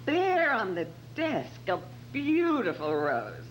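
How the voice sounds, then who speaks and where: A woman acting as a detective's excitable female assistant in a radio mystery. She is teasing and expressive, her pitch swinging widely over clearly noisy, humming sound. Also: slow, full character voice. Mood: admiring, excited. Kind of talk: amateur recreation of old-time radio detective drama. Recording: noisy amateur recording with hum.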